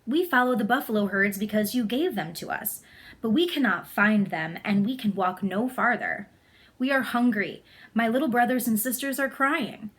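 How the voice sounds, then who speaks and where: A woman's voice: a little high, normal speed, breathy edge. Storybook role: woman as a young boy, earnest and imploring, speaking up for hungry people. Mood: pleading. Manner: earnest, pleading.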